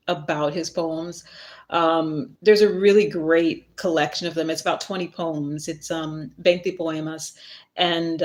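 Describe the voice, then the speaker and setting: A middle-aged woman chatting casually on a live stream, rambling a little and laughing at herself as she reads from her book. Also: fairly low pitch. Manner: rambling, chatty, self-deprecating. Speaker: author and spiritual worker hosting a live stream.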